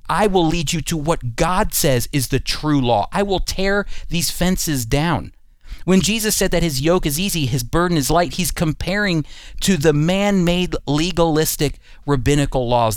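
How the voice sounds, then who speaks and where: A man's voice: a little high, no room sound. A man hosting a radio Bible program, teaching in an earnest, emphatic, sermon-like way and addressing listeners directly. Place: studio.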